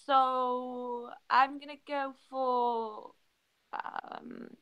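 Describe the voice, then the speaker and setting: A woman's voice: sits high, drawn-out words, smooth. A woman playing as a quiz contestant, thinking aloud in casual, exclamatory chat. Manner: expressive, exclamatory.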